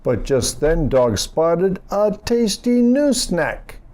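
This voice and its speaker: A man with a mellow voice reads a children's rhyming storybook. His pitch swings widely as he goes, animated and aimed at little listeners. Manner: unhurried, animated, addressing young listeners. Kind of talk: expressive read-aloud of a rhyming picture book.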